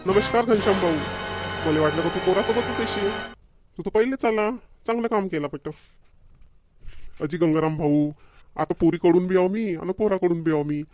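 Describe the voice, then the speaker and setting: A man's voice: sits high, moderate pace, long pauses, darkish tone, soft background music, close to an everyday voice. A man voicing a sociable, practical village family man in a cartoon comedy, with a Vidarbha Marathi accent. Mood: friendly, sociable.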